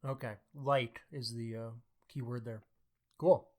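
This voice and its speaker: Mid-pitched man, podcast co-host. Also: fairly even intonation, a little slow, darkish tone. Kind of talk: conversational book discussion.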